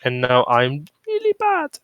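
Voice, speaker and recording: A man's voice: medium pitch, wildly swooping pitch, measured pace, clear, resonant tone, muffled recording. A man chatting casually on a stream, rambling with restarts, who moved to the UK from abroad. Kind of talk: casual chat.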